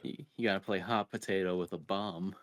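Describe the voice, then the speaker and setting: A man with a low-pitched voice talks as a podcast co-host reviewing games. His chat is casual and somewhat hesitant, coming out in halting pieces. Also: steady tone.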